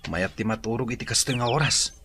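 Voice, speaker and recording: A man's voice: mid-range voice, a little fast, fairly bright tone, a little hiss or hum, natural voice. A man playing a blunt, laid-back young rural boyfriend in a drama. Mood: easygoing.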